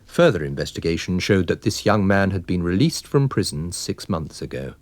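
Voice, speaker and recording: A man's voice: low-pitched, big pitch swings, moderate pace, dry recording. A man reading a detective story as scripted narration. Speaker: narrator, audiobook reader.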